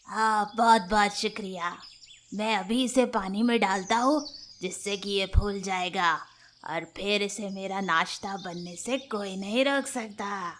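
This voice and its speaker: Woman voicing a greedy, forceful, slow-talking male leopard. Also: medium pitch, slight background noise, voice pushed down, natural voice. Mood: pleased, scheming. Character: greedy, gloating, dim-witted male leopard hunting a turtle. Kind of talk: animated children's fable character voice.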